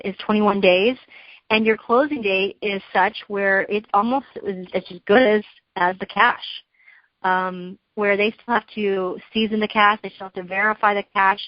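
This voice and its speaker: A woman's voice: mid-range voice, dry recording. A woman trainer giving an instructional talk on a conference call, explaining step by step with worked examples and checking that listeners follow. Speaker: real estate trainer leading a training call. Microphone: conference call line. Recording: dull, lo-fi conference call audio.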